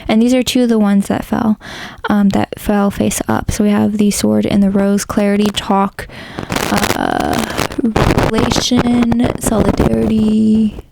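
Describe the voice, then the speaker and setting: A woman with a hoarse, crackly voice narrating a pick-a-card tarot reading in a casual, rambling way, with frequent ums and likes. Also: mid-range voice, little pitch movement, unhurried, a little mellow. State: hoarse, losing her voice. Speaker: tarot reader.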